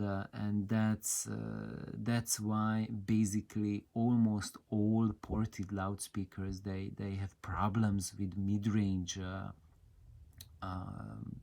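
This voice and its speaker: A man giving an unscripted technical explanation as a presenter, with a hesitant, halting delivery full of drawn-out fillers. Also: fairly low pitch, darkish tone. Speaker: audio hobbyist presenter.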